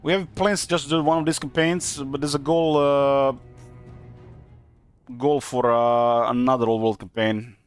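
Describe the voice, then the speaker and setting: A young man commenting on his strategy game play in a halting way, with many fillers and self-corrections, while losing his voice. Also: medium pitch, steady tone, clear, resonant tone, soft background music. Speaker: game streamer. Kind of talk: casual gameplay commentary.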